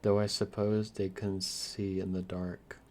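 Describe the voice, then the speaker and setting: A man playing a shy, lonely, youthful vampire lord in an ASMR roleplay, stammering earnestly with hesitant, softly formal manners. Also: deep voice, little pitch movement, measured pace. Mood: shy, amused. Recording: clear background hiss and noise.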